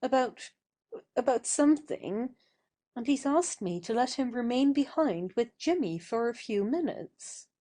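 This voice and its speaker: A woman playing a composed, kindly and teasing young lady in an audio stage play, measured and gently reproving. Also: fairly high pitch, animated.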